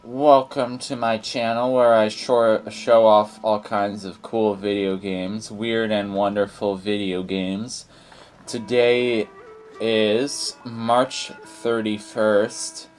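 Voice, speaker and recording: Young male let's-play host, very deep and croaky, rambly delivery, faint music underneath. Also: fairly even intonation, slow pace, darkish tone, rough recording. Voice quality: low and croaky. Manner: rambling, many fillers and restarts. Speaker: gaming channel host. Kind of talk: casual let's play commentary.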